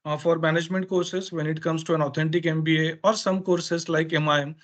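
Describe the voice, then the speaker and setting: A man with an Indian accent, a native Marathi speaker, explaining things informally as a webinar presenter in halting, filler-heavy phrases. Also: a little high, flat delivery. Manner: halting, hesitant phrasing with frequent filler pauses. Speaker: education consultant presenting a webinar.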